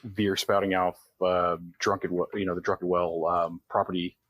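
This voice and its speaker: A man's voice: deep voice, little pitch movement, normal speed, fairly bright tone, highs cut off. A man chatting casually as a player in a tabletop game, narrating what his character does.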